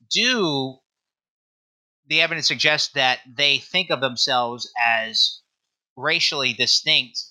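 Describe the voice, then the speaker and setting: A man speaking as an academic interview guest, explaining research in an emphatic, halting way with repeated phrases. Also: a little high, sharp tone, low-quality recording. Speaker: guest author and historian discussing own book.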